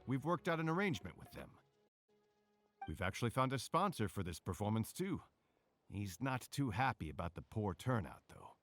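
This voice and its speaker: An actor voicing an earnest, apologetic stage performer in a video game, friendly and self-deprecating. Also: male voice, lowish voice, big pitch swings, moderate pace, soft background music. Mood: modest, friendly. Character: earnest, apologetic, struggling theater actor man, modest and friendly.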